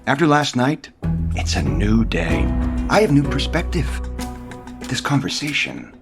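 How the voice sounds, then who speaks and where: An actor playing a cold, embittered husband and developer in an audio soap opera, dry, haughty and sarcastic. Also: male voice, medium pitch, very animated, a little slow. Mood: cold, bitter. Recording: prominent music bed behind.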